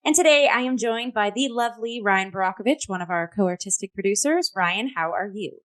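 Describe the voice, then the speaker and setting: A woman's voice: medium pitch, lively intonation, brisk, fairly bright tone. Upbeat, chatty woman hosting. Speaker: host of a performing arts review show. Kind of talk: conversational review show hosting.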